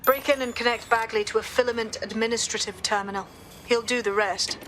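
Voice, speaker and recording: A woman's voice: a little high, fairly even intonation, brisk, a bit bright, slight background noise, lightly acted. A woman playing a blunt, determined resistance leader, speaking plainly in a video game. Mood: businesslike. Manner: direct, plain-spoken. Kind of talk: scripted game dialogue.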